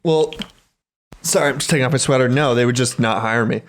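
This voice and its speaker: A man's voice: medium pitch, a little fast, a little hiss or hum. A man co-hosting a podcast in casual comedic chat, slangy and profane. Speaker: podcast co-host.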